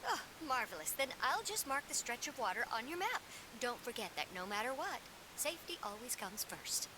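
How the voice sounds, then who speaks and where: A woman's voice: a little high, big pitch swings, normal speed, noisy background. A woman voicing an earnest, self-important young female representative in a game, speaking in a theatrical, formal storytelling way. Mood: pleased. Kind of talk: animated game dialogue.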